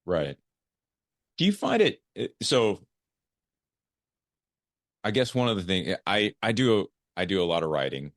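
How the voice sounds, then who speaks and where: A man's voice: lowish voice, big pitch swings, a little slow, pauses often, dry recording, studio quality. A man hosting an interview podcast, talking conversationally with his guest. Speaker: podcast host.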